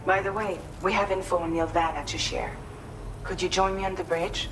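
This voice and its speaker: A man acting as a measured, courteous crew officer in a dramatic video game cutscene. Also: high voice, flat delivery, background noise, close to an everyday voice. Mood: measured, serious. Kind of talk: acted dramatic game dialogue. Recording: music behind the voice.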